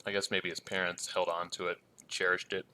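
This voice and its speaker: Deep-voiced man rambling through commentary. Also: little pitch movement, a little fast, sharp tone, slight background noise. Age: 30s. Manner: hesitant and rambling, full of ums and trailing thoughts. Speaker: reaction video host.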